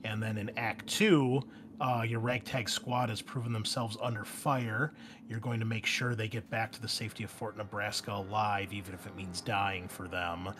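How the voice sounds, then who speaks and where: A man's voice: fairly low pitch, little pitch movement, normal speed, background noise. A man acting as game master and host, chatting casually as he walks players through a post-game debrief.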